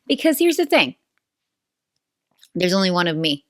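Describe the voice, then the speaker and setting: A woman's voice: on the low side, big pitch swings, moderate pace, fairly bright tone, no room sound. Woman in her thirties, Venezuelan accent, native Spanish speaker, chatty podcast host, playful asides, dry close sound. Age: 30s. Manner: chatty, playful, self-aware, often asks "right?". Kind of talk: casual solo podcast chat.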